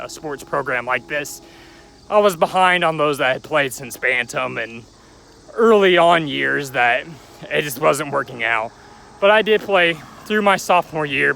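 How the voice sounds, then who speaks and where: A man's voice: fairly high pitch, vocal fry, bright, forceful tone, slight background noise, rough recording. A young man telling his life story in a rambling, chatty vlog, recorded outdoors near a railway. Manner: rambling, chatty, joking aside at passing trains. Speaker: vlogger.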